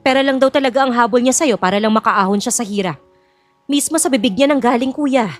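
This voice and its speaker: A woman's voice: on the high side, fast, soft background music, lightly acted. A woman playing a scheming middle-aged sister-in-law in an audio drama, insinuating and sugary, with a Filipino accent.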